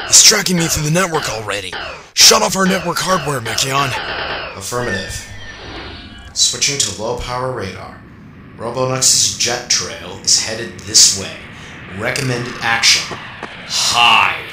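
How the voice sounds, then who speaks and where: Robot villain, male actor: slow, formal, methodical, easily irked; echoey, noisy radio drama recording. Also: mid-range voice, expressive, lightly acted. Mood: tense, calculating. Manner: deliberate, formal, clipped phrasing. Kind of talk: amateur radio drama acting.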